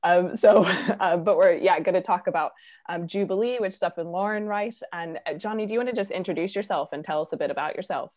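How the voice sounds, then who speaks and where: A woman's voice: fairly low pitch, brisk, a little mellow. A woman hosting a casual online chat, friendly and upbeat, with a lot of fillers. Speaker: host.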